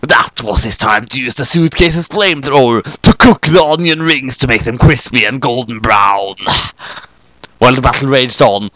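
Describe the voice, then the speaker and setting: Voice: medium pitch, normal speed, fairly bright tone, no room sound, low-quality recording. A narrator reading a scripted comic parody story.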